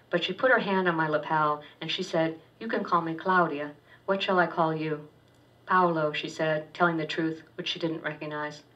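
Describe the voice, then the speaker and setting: Woman author reading fiction at a distance. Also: sits low. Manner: steady, wry reading with slight stumbles. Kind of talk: literary reading of first-person fiction. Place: public reading at a university poetry center. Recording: distant dull lo-fi recording.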